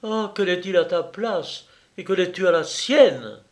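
A man putting on a furious young actress from a storybook, her voice lifted high and trembling with indignation. The lines come out sharp and deliberate, as if bitten off. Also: animated.

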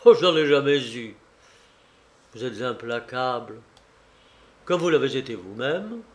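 A man voicing a proud, ruthless male banker in an audiobook reading, loud, cynical and cutting. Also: mid-range voice, animated, unhurried, lightly acted. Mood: furious gloating.

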